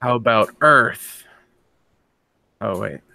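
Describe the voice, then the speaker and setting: A man's voice: lowish voice, expressive, takes it slowly, creaky, bright, forceful tone, no room sound. A man chatting casually as a participant in a brainstorming session. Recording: faint background noise.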